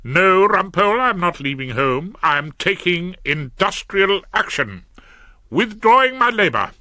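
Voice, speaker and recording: A man's voice: high voice, very animated, measured pace, bright, forceful tone, dry recording, voice pushed up, full character voice. A man reading an audiobook, voicing a stern, domineering middle-aged wife who speaks in a clipped, reproachful way. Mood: indignant. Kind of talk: audiobook character voice.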